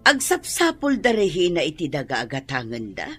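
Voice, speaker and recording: An actress playing a practical older landlady and mother in a radio drama, with a husky voice and an Ilocano accent. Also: sits low, huge pitch swings, moderate pace, fairly bright tone, soft background music, heavily acted. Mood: matter-of-fact.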